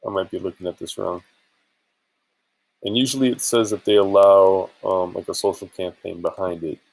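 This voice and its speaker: A man's voice: low-pitched, fairly even intonation, normal speed, creaky, low-quality recording. A man chatting casually in an informal, rambling and hesitant way for a screen-share vlog, sounding a bit tired. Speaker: vlogger and affiliate marketer.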